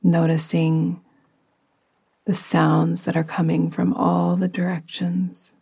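A woman guiding a relaxation meditation in a soft, breathy, partly whispery voice with a soothing, gentle, unhurried manner. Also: fairly low pitch, little pitch movement. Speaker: yoga nidra meditation guide.

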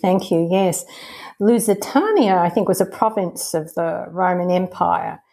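A woman hosting a podcast, reading a measured, formal introduction and then asking questions in a friendlier tone, recorded over a phone call. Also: fairly low pitch, vocal fry, mellow. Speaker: podcast host. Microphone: phone over a messaging-app call.

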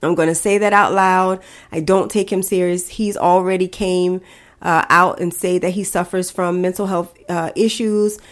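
A woman with a fairly low voice hosts her own podcast while fighting a head cold, so she sounds stuffy and congested. She talks frankly and chattily, giving her opinions openly. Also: steady tone, moderate pace. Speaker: podcast host. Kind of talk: casual solo podcast commentary.

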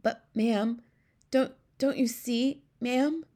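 A middle-aged storybook maid, acted by a woman reader, servile and easily flustered. She speaks a little above a normal pitch, fussing and stammering. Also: slow pace, warm tone. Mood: anxious, insistent. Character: middle-aged female royal maid, deferential, anxious, fussing. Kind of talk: storybook character voice.

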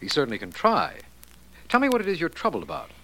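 A man playing a genial, dependable district attorney in 1940s radio style, courteous and measured. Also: on the high side, huge pitch swings, pushed and bright, exaggerated voice. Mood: concerned. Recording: very noisy vintage radio recording.

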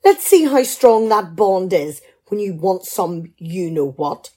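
Woman playing a dry, teasing wife, mellow. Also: on the low side, lively intonation, slow, slightly rough, exaggerated voice. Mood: teasing, determined. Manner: dry, bantering, probing. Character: teasing, shrewd, practical middle-aged wife. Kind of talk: amateur radio play dialogue.

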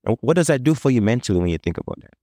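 A man's voice: medium pitch, sing-song, racing, warm tone, no echo, clean studio sound. A man co-hosting a podcast, playful and fond of jokes. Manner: joking and playful. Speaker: podcast co-host. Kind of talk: casual podcast conversation.

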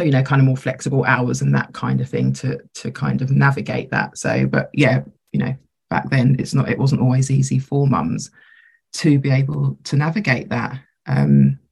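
A woman co-hosting a casual podcast chat, her voice croaky today as she thinks aloud in a reflective way. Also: very deep voice, darkish tone. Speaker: podcast co-host.

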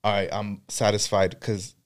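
Man, lowish voice, laid-back, rambling, swears a lot, podcast chat. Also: little pitch movement, normal speed. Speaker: podcast co-host.

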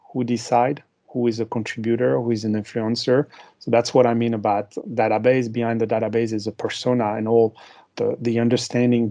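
A man's voice: medium pitch, dark tone. A man with a French accent, a marketing executive answering interview questions with many hesitations and restarts.

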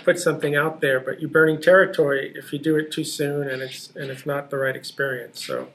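An eager male podcast host with a voice somewhat higher than usual. He talks in a casual way and stumbles over repeated words as he gets into his questions. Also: fairly even intonation, fairly quick, a bit bright, poor audio. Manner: eager, repeats words when starting sentences. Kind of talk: casual interview hosting.

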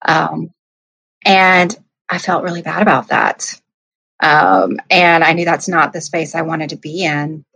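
A woman's voice: sits low, steady tone, a little slow, creaky, bright, forceful tone, husky, dry recording, poor audio. A woman in her thirties, a life coach, telling her story in a reflective, hesitant way with many ums. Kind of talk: casual interview storytelling.

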